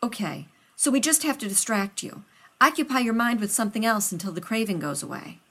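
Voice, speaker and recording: A woman's voice: a little high, expressive, a little fast, a bit bright, pitched above the performer's own voice. A woman reading a story aloud, voicing a fussy, rambling male scientist who talks over others. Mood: flustered.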